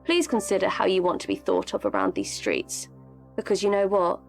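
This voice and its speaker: A woman's voice: mid-range voice, sing-song, moderate pace, faint music behind. A woman acting in a radio play as a shrewd political operative from a rival party, knowing and pointed, leading with rhetorical questions. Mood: condescending, menacing.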